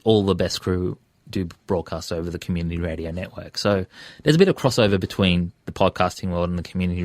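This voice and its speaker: A man with a low-pitched voice and an Australian accent speaks casually as a guest in an interview. He circles around his points, hesitating and rephrasing as he goes. The sound is close and dry. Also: dry recording. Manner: hesitant, self-correcting, discursive. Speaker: interview guest, radio presenter and podcaster.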